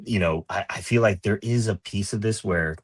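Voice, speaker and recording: A man from Peru chatting casually as a podcast panelist, rambling in an animated way and acting out imagined remarks. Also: on the low side, moderate pace, breathy edge. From Lima, Peru. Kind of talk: casual political discussion.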